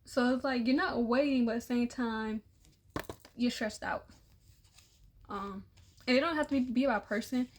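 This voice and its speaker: A young woman with a slightly high voice gives a relaxed tarot reading. She is losing her voice and sounds a little worn. She talks in a loose, repetitive way and sometimes drops to a soft murmur. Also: moderate pace, long pauses. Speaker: tarot reader. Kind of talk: casual tarot reading for all zodiac signs.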